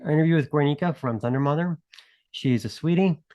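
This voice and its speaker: A man's voice: a little high, fast, dry recording, highs cut off. Chill podcast guy, lots of ums, dry wry jokes, dead dry mic. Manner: relaxed, filler-heavy, wry asides. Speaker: podcast co-host. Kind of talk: casual podcast chat.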